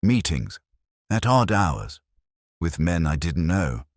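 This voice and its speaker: Man voicing a low, gossipy society woman. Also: sing-song, takes it slowly, no room sound, studio quality, heavily acted. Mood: confiding. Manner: sighing, confiding gossip.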